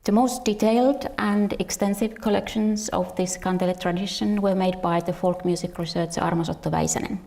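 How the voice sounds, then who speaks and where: A woman's voice: medium pitch, steady tone, fairly quick, gravelly, hardly any pauses, warm tone. A woman with a Finnish accent gives an academic lecture, partly read from a script, stumbling and repeating words now and then. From Finland. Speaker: researcher and musician presenting at a conference.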